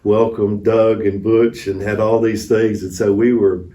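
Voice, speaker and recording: A man's voice: on the low side, flat delivery, a little slow, mellow. A man telling mission stories conversationally as an interview guest, in a folksy, anecdotal manner. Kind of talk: conversational storytelling. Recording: echoey room, distant mic.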